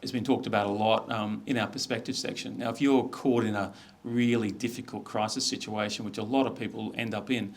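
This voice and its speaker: A man's voice: on the low side, fairly even intonation, brisk. A middle-aged Australian man giving an informal business presentation in a conversational, explanatory way. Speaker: media executive presenting.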